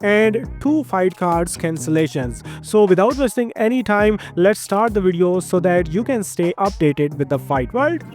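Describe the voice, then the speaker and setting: High-voiced Indian man reading MMA news. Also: animated. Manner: brisk, list-like delivery with slightly nonstandard grammar. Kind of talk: scripted news rundown. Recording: music bed underneath.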